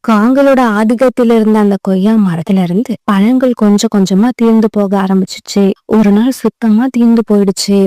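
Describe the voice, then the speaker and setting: Smooth, softly mellow woman storyteller. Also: medium pitch, steady tone, fairly quick, no echo. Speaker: narrator. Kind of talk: scripted children's story narration.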